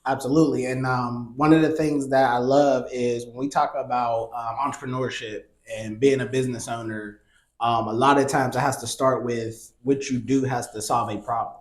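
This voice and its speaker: A man hosting a podcast in a casual, chatty way, often pausing with "um" and repeating words. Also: mid-range voice, roomy sound. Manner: relaxed, chatty, many ums and repeated words. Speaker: podcast host.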